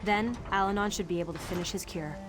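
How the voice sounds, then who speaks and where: An actress playing a steady, practical female war leader in a fantasy video game, speaking in a measured, serious way. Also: medium pitch, close to an everyday voice. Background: clear music.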